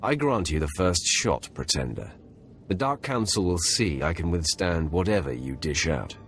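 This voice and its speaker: An actor playing a confident, imposing dark warrior in a video game, speaking in a commanding, cold and defiant manner. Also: male voice, low-pitched, big pitch swings, normal speed. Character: confident, imposing young Sith warrior, the emperor's enforcer. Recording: music under the voice.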